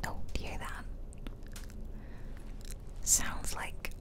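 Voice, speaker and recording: A woman softly whispering playful, chatty ASMR commentary over a video game, close to the mic. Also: takes it slowly. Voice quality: soft breathy whisper. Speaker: gaming host. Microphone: close stereo mic, ear-to-ear.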